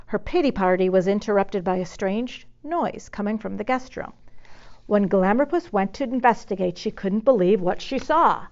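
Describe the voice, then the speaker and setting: A woman narrating a children's story expressively, pausing dramatically on key words. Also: medium pitch, lively intonation, darkish tone, highs cut off. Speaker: storybook reader.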